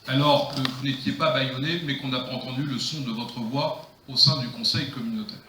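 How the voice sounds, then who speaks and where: A man's voice: mid-range voice, steady tone, a little fast, sharp tone, far from the mic. A man speaking as a mayor, giving an improvised political rebuttal in an emphatic, declamatory way with rhetorical repetition. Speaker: mayor chairing the council. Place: council meeting room. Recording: echoey room, faint noise, clicks.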